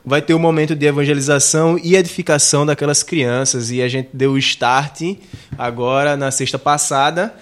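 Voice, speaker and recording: A man hosts a church radio program in a loose, chatty way. His voice sits slightly high. He rambles and repeats words as he goes. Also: little pitch movement, normal speed. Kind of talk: casual radio chat.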